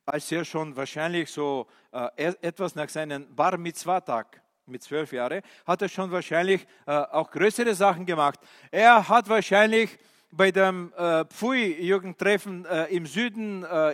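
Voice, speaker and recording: A male preacher, a non-native German speaker, giving an animated sermon with many questions to his listeners and hesitant fillers. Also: sits high, a little slow. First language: not German. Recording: reverberant hall.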